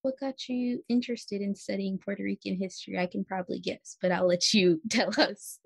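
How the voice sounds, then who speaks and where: A woman's voice: mid-range voice, fairly even intonation, a little fast, creaky, slightly rough, no echo. A woman hosting a history podcast and interviewing a guest. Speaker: podcast host.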